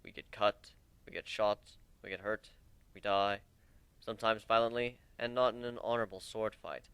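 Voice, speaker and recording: Guy doing a grim old-soldier type samurai, low and measured, weary, for a story reading, no room echo. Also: steady tone, a bit bright, smooth. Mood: grim, matter-of-fact. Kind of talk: dramatic reading of fan fiction.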